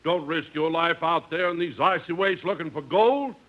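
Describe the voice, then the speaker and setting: A man's voice: a little high, moderate pace, close to an everyday voice. A man playing a naive, preachy young prospector with earnest melodrama in a 1950s radio comedy. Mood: earnest, pleading. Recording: noisy, muffled 1950s radio recording.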